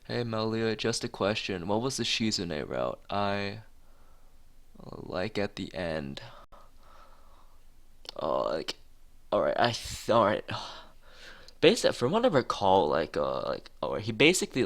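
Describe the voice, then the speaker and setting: A man's voice: on the low side, big pitch swings, slow pace, gravelly, pauses often, fairly bright tone. A man streaming a visual novel, reading it aloud and rambling hesitantly in casual asides. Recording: music playing behind the voice.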